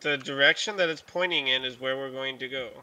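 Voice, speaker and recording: A man's voice: mid-range voice, brisk, bright, forceful tone, rough recording. A man chatting and joking with friends while playing a survival game online, laughing often. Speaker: gamer. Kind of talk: casual multiplayer game chat.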